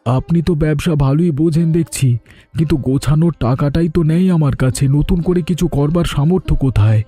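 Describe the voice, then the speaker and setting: A very mellow, muted voice sits a little high for a man, with music underneath. A male actor plays a middle-aged village shopkeeper in a cartoon, humble and anxious, pleading his troubles with plain earnestness. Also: brisk, husky. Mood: worried, downcast. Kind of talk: cartoon drama dialogue.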